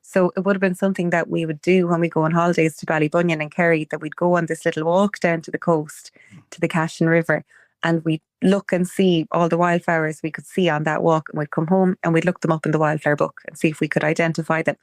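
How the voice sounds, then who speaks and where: A woman's voice: deep voice, flat delivery, fast, dry recording, studio quality. A young adult Irish woman, a wildflower guide, chatting enthusiastically in an interview with lots of "like". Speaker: guest, wildflower walk guide. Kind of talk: conversational interview. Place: island home.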